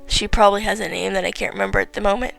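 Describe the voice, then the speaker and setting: A teenage girl giving rambling, chatty commentary on her game late at night, her voice tired and raspy. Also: mid-range voice, steady tone, rapid-fire, fairly bright tone, soft background music. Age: teens. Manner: rambling, filler-heavy, chatty. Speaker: gaming vlogger.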